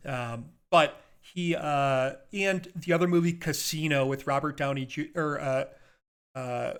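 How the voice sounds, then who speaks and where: A man with a fairly high-pitched voice chats as a podcast co-host. He is keen and informal, rambling through his thoughts and correcting himself along the way. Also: unhurried, fairly bright tone, clean tone. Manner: rambling, self-correcting, enthusiastic. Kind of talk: casual chat about favorite movies.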